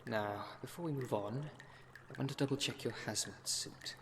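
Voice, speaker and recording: A man acting a brisk, wry city witch in an ASMR roleplay audio drama, talking in a dry, instructive way. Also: mid-range voice, slight background noise, natural voice. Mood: careful, instructive.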